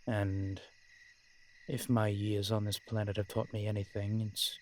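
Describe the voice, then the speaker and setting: A man playing a courteous, centuries-old vampire in an audio roleplay, speaking in a measured, formal, genteel way with deliberate pauses. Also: on the low side, little pitch movement, darkish tone, a little hiss or hum, lightly acted. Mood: guarded, knowing. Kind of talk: scripted audio roleplay addressed to the listener.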